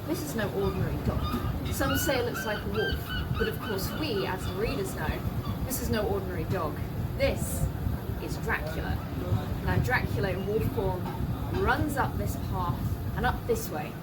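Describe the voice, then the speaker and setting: A woman's voice: medium pitch, huge pitch swings, moderate pace. A woman presenting a travel piece on location outdoors, with a theatrical storytelling manner. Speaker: presenter, audio drama writer and director. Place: outdoors in a seaside town. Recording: loud outdoor noise, traffic, sometimes distant.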